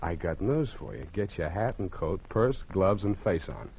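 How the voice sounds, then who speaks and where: An American actor playing a flirtatious, wisecracking hard-boiled private detective, wry and casual, in 1940s radio drama style. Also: male voice, deep voice, big pitch swings, moderate pace, vocal fry, exaggerated voice. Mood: teasing. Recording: muffled, noisy 1940s radio recording.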